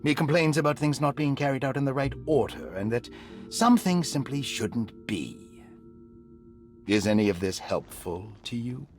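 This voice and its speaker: A man's voice: medium pitch, animated, lightly acted. Man acting suave, condescending doctor over music. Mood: evasive, courteous. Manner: measured, polite, condescending. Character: suave, evasive male doctor, refined and condescending. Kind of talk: video game cutscene acting.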